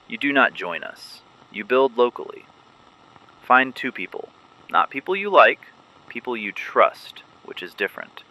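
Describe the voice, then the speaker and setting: Man as a bright, forceful underground resistance broadcaster. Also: on the low side, exaggerated voice, poor audio. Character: male resistance broadcaster, guarded, firm, methodical. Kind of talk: scripted dystopian radio drama broadcast.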